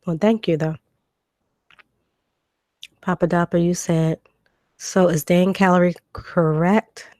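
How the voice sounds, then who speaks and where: A woman, hoarse from a cold, hosting a live stream and answering viewer comments in a relaxed chat with lots of ums. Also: lowish voice, slow, pauses often, no room sound. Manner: conversational, reads comments aloud and answers them, frequent ums.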